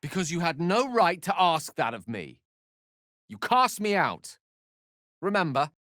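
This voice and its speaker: British wizard, fantasy game, eloquent, wry, courteous, theatrical, animated intonation, dry studio sound. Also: male voice, a little high, unhurried, no room sound, full character voice. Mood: indignant. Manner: articulate, erudite, theatrical phrasing. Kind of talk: dramatic fantasy game dialogue.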